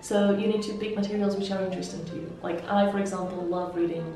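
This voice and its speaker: A woman from Slovakia with a Slovak accent, an interpreter and language mentor, giving measured, explanatory interview answers. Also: mid-range voice, fairly even intonation. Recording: echoey room, heard from some distance, soft music.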